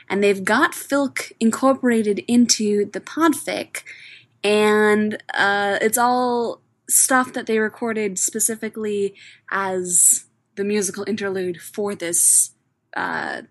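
A woman with a mid-pitched voice records an informal recommendation. She sounds hesitant, assembling her thoughts as she talks. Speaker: listener giving a talkback recommendation.

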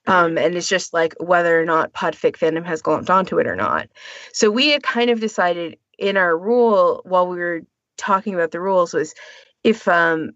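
Woman podcaster, dull close recording, lowish voice. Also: lively intonation, moderate pace, a bit bright, no room sound. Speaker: podcast co-host. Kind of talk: casual podcast discussion. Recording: dull, lo-fi audio.

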